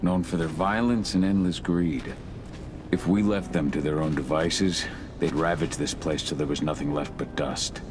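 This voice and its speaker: A man playing a gruff, brooding, protective werewolf fighter in a video game, blunt in the way he talks. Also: extremely low pitch, steady tone, moderate pace, background noise. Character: brooding, combative werewolf husband, wary and protective. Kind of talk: dramatic video game acting.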